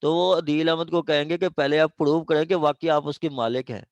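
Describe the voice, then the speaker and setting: A man's voice: fairly high pitch, fairly even intonation, brisk, no echo, muffled recording. Pakistani man lecturing, close dry sound. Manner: explanatory, often checking understanding; code-switches into English legal terms. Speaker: law lecturer. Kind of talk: informal classroom lecture with examples.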